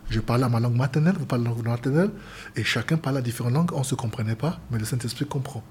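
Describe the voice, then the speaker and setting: A man's voice: mid-range voice, very fast, darkish tone, a little hiss or hum. A man from Cameroon, a seamen's chaplain and pastor, speaking earnestly as an interview guest and often restarting his phrases. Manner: earnest, repetitive, stumbles and restarts.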